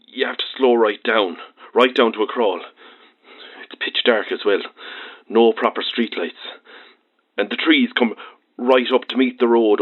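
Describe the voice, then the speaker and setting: An actor playing a frightened, agitated Irish truck driver who stammers through a late-night phone-in in an audio drama. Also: male voice, medium pitch. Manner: halting, stammering, rambling colloquial storytelling. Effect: phone line. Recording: muffled, tinny phone line.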